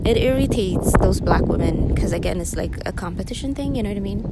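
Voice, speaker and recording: A woman's voice: mid-range voice, lively intonation, quick pace, vocal fry. A woman ranting in a casual, rambling way, full of filler words and tag questions, with little laughing asides. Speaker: monologue performer. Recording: loud wind noise over the mic.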